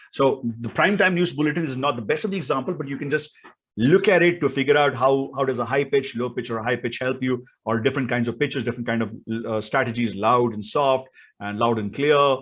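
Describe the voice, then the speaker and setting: A man's voice: mid-range voice, a bit bright, husky. A man with an Indian accent giving an instructional talk as a speaking coach, emphatic and repetitive, often checking in with the listener. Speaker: voice and public speaking coach, presenter.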